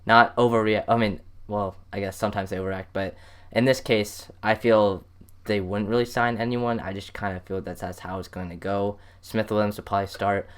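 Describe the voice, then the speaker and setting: A man's voice: fairly low pitch, flat delivery, a little fast, clean tone. A man with an American accent hosting a casual sports interview and asking questions. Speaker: podcast host, interviewer.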